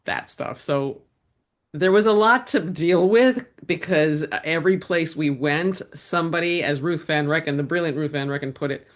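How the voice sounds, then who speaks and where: A woman's voice: low-pitched, moderate pace. A woman answering interview questions as a guest, in a reflective way with frequent restarts. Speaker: guest, actor and writer.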